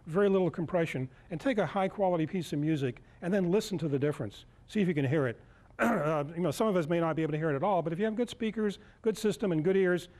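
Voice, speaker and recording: A man in his sixties giving an informal live demonstration as a lecturer, halting as he searches for words. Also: on the high side, a little mellow. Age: 60s. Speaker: lecturer at a club workshop. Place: indoor meeting room, speaking to a group.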